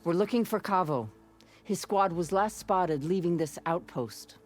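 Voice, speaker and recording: Old grandma chaplain of a warrior tribe, solemn n measured, video game drama, faint music under it. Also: female voice, lowish voice, darkish tone. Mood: steady, purposeful. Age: older. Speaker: game character actor. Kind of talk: dramatic game cutscene acting. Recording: music behind the voice.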